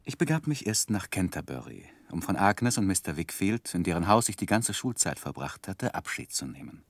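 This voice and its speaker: An actor playing a grown gentleman who looks back on his youth, narrating a 1960s German radio play in a measured, expressive literary manner. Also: sits low, no room sound, full character voice. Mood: measured storytelling.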